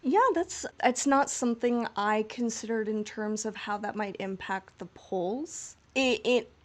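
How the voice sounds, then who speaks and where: A woman's voice: fairly high pitch, a little slow. A woman podcast co-host chatting casually in a playful, informal way about something she follows as a fan.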